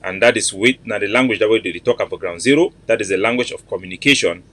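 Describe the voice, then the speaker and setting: Man, 40s, cameroonian pidgin english accent, low-pitched, earnest, repetitive, webcam livestream host. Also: fast talker, fairly bright tone. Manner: earnest, repetitive, emphatic, greets viewers by name. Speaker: online show host. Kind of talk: casual livestream address.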